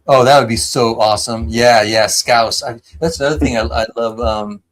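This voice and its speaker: A man's voice: medium pitch, fairly even intonation, normal speed. An American man from San Diego hosting a live stream, chatting enthusiastically with lots of fillers and restarts. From San Diego, United States. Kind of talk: casual chat.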